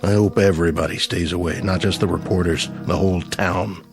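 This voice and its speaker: A man plays a stern, weary small-town sheriff in a radio play. His voice sits low and he speaks gravely, with music running under the scene. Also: steady tone, fairly quick, lightly acted. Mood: grim. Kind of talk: radio drama acting.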